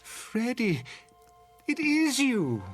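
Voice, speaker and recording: An actor in a radio drama playing a bewildered older husband who pleads with desperate earnestness, with music behind him. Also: male voice, extremely high pitch, wildly swooping pitch, extremely slow, full character voice. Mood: amazed. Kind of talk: audio drama acting.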